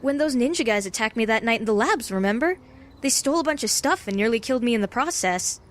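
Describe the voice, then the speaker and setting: A woman's voice: a little high, expressive, quick pace, lightly acted. A woman playing a brave, earnest young lab intern in a comic-book audio drama, talking in a conversational, coaxing way. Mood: feigned innocence.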